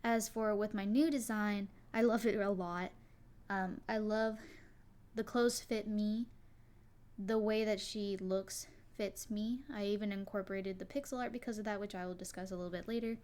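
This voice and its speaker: A young woman with a soft, quiet voice, casually talking to her viewers about her character design, rambling a little with fillers and restarts. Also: mid-range voice, fairly even intonation, moderate pace. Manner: rambling, many likes and restarts. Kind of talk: casual solo talk to viewers.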